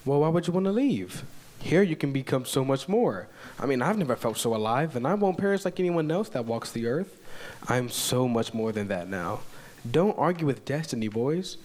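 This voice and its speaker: A man's voice: medium pitch, expressive, moderate pace, darkish tone, natural voice. A man reading a teenage boy newly turned vampire at a live table read, persuasive and grandiose. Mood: enthralled.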